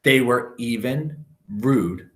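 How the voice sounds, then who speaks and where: A man reading a storybook aloud for children, with theatrical emphasis and drawn-out words. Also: medium pitch.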